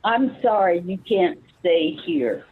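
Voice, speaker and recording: Woman doing tired-of-it gentle cleanup worker, slow husky voice, tinny phone sound, radio play. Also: fairly low pitch, animated, exaggerated voice. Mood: apologetic. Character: reluctant, gentle female homeless-camp cleanup worker. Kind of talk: amateur radio play acting.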